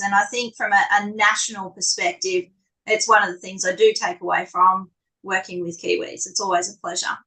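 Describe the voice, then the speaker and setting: A woman with a strong Australian accent speaking on a live online panel, telling animated, self-deprecating stories. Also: fairly high pitch, rough recording.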